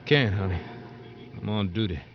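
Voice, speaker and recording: A man's voice: fairly low pitch, normal speed, natural voice. An actor playing a laconic, stubborn sheriff's deputy talking with his lover in a 1950s radio drama. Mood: grumbling, reluctant. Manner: laconic, terse. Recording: dull, faintly noisy 1950s radio recording.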